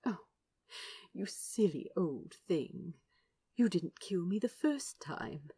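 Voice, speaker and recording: A fond, fussy male angel from an audio storybook, played by a woman. The voice is soft and breathy with a creaky edge, prim and unhurried in its phrasing. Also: lowish voice, expressive, dry recording, heavily acted. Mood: fond, reassuring. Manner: gentle, prim, slow.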